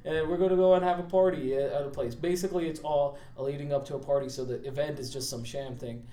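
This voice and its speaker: A man's voice: a little high, fairly even intonation, quick pace, mellow. A young man talks loosely and casually as a student guest on a podcast, with frequent swearing. His voice rings in a roomy space, with some noise behind it. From California and India. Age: young adult. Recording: roomy echo, clear background noise.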